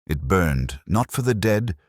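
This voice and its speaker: Male actor as older detective narrator for audio drama: extremely low voice, reflective, weary, literary delivery, dry studio sound. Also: big pitch swings, no room sound. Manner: measured, literary, melancholic. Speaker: first-person narrator.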